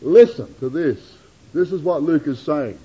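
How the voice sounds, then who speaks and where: A man preaching a sermon to his congregation, addressing them as brethren and sisters and swinging his delivery from loud declamation to hushed asides. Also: expressive, unhurried, slightly rough. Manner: dramatic rises and drops in pitch and loudness. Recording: dull lo-fi sermon recording.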